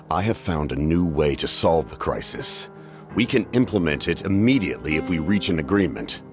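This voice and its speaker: A grave military captain, very low in pitch, commanding yet courteous. The game character speaks in solemn, measured, formal sentences over prominent music. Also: male voice, full character voice. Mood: composed, resolute. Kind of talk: English game voice acting.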